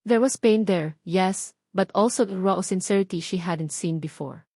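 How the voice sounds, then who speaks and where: A woman's voice: lowish voice, normal speed, dark tone, no room sound, clean studio sound. A woman with a Filipino accent giving a dramatic scripted narration of a romance audiobook.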